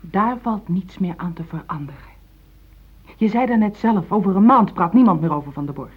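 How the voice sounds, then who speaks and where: An actress playing a pragmatic, pushy middle-aged wife, insistent and reproachful, in a radio play. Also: female voice, mid-range voice, animated, normal speed, husky. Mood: persuasive, goading. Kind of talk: Dutch radio play acting. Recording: thin, phone-like old radio play recording.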